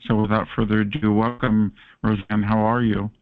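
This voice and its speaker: A man with a Californian accent hosting and moderating a call-in show, relaxed and full of fillers. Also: on the low side, moderate pace. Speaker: host and moderator. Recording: phone-like conference call line.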